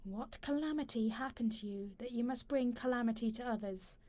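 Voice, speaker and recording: A woman playing a wronged older wife in a radio play, dignified and bitter, with a measured, probing, accusing delivery. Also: a little high, a little mellow, lightly acted.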